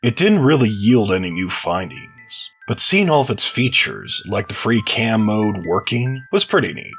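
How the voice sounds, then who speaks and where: A man giving measured, articulate, explanatory answers as an interview guest, sounding prepared and read out. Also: lowish voice, big pitch swings, fairly bright tone, slightly rough, muffled recording, faint music behind. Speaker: interview guest, game modder.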